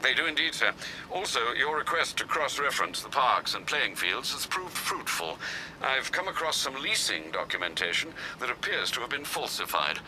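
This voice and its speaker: An actor playing a dutiful, refined older butler in a video game, formal and gravely concerned. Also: male voice, medium pitch, sing-song, background noise, natural voice. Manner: formal, deferential, measured, dryly understated. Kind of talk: video game cutscene acting.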